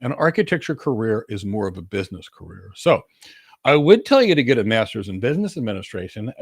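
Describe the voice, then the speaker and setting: A man's voice: lowish voice, husky, no room sound, clean studio sound. A man coaching in an informal Q&A, explaining in an assertive, emphatic way and repeating key words for stress. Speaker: career coach and host answering audience questions.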